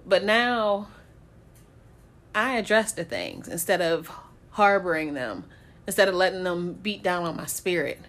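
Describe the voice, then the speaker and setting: A woman's voice: lowish voice, expressive, unhurried, pauses often, fairly bright tone. A woman talking candidly in a casual chat, blunt and swearing freely, often breaking into laughter.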